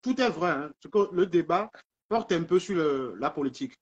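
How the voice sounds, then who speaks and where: A man's voice: a little high, animated, normal speed, husky, lo-fi sound. A man from Togo joining a live debate, insistent and repeating his points.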